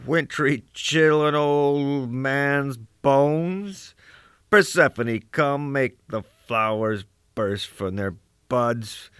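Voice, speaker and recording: A man's voice: mid-range voice, bright, forceful tone, smooth, dry recording, studio quality. A man voicing an aging, cantankerous, proud American poet in a rambling dramatic soliloquy, with a crusty, musing, oratorical delivery and salty asides. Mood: musing, declamatory. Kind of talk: dramatic first-person literary soliloquy.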